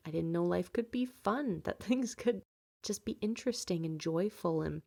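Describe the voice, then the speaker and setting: A woman's voice: on the low side, vocal fry, dark tone. A woman in her late twenties hosting a podcast from home, talking candidly about her life with many fillers and light laughs. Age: late 20s. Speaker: podcast host. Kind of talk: personal reflective monologue.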